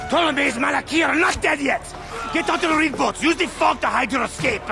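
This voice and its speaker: An actor playing a defiant battle commander rallying a crew at sea in a video game, bellowing with glee. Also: male voice, very high voice, a little fast, gravelly, exaggerated voice. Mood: defiant, rousing. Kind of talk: dramatic video game acting. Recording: game music behind.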